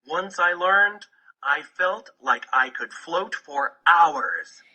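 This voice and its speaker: A man's voice: fairly high pitch, animated, slow, sharp tone. A man narrating a children's picture book from a script. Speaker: audiobook narrator.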